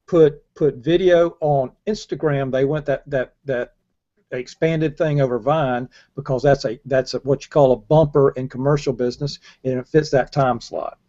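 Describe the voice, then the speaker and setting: Bright-voiced older man, emphatic. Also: mid-range voice, fairly even intonation, moderate pace. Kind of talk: casual panel discussion. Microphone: earbuds headset on a video call. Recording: dull lo-fi video call audio.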